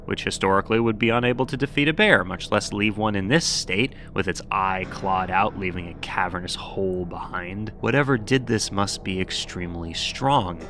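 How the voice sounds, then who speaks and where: Wry low-voiced man, bright delivery. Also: normal speed, few pauses. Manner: wry, sarcastic. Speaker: YouTube host. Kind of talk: scripted horror commentary with sarcastic asides.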